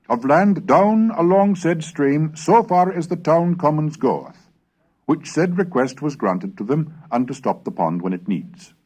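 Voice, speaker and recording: A man reading scripted historical narration in a formal, measured, expressive manner, as the narrator of a recorded lesson. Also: on the high side, poor audio.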